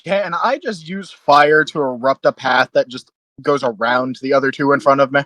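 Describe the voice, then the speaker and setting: A man's voice: mid-range voice, normal speed, a bit bright, no echo. A man talking casually as a player in a tabletop role-playing game.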